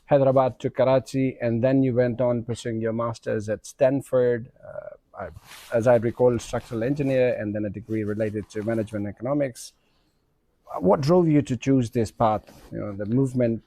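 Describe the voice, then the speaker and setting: Mellow Pakistani man hosting an interview. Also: medium pitch, moderate pace. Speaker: podcast host interviewing a guest. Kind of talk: semi-formal interview.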